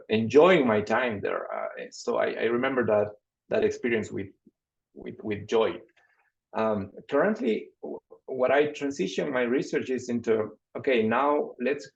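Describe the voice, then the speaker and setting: Casting note: male academic from Ecuador, gravelly and low, halting with lots of repeated words, on muffled video call audio. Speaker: panelist, assistant professor. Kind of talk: webinar self-introduction.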